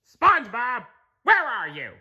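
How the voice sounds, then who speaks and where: A grumpy male cartoon squid, acted out by a man reading a storybook, pitched well up and swinging widely. He is sarcastic and exasperated, with a bright, forceful edge to his griping. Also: huge pitch swings, unhurried, dull audio, exaggerated voice. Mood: furious shouting. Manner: sarcastic, complaining, prone to shouting outbursts.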